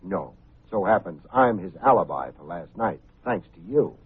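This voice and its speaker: An actor playing a coolly unflappable, wisecracking private investigator in an old radio detective drama, with dry, clipped noir phrasing. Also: male voice, low-pitched, very animated, normal speed, breathy edge, full character voice. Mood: probing, dry. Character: wry, hard-boiled male private investigator, coolly unflappable. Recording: muffled phone-like vintage radio recording.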